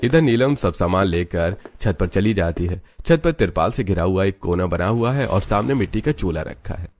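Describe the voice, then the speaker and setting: A man's voice: sits low, expressive, moderate pace, darkish tone. A man narrating a scripted cartoon story.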